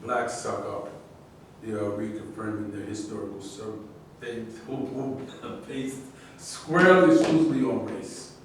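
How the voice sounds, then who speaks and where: An earnest, defiant champion boxer played by a man in a staged play reading. He speaks insistently and a little haltingly, far from the mic in a strongly echoing space with faint noise. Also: fairly low pitch, close to an everyday voice. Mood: impassioned. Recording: very echoey distant room recording, faint noise.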